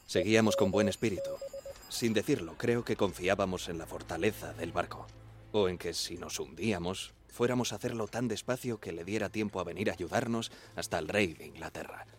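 An actor playing a deferential ship's wireless operator giving measured, careful testimony in a radio drama. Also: male voice, deep voice, natural voice. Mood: sombre recollection.

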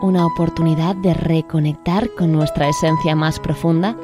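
A woman presenting a guided meditation with a calm, gentle, measured delivery. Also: deep voice, over music. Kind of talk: scripted meditation introduction.

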